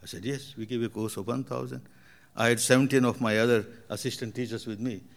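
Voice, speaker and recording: A man's voice: medium pitch, fairly even intonation, fast talker, gravelly. An older man from Burma, a meditation teacher answering audience questions in emphatic, repetitive phrasing.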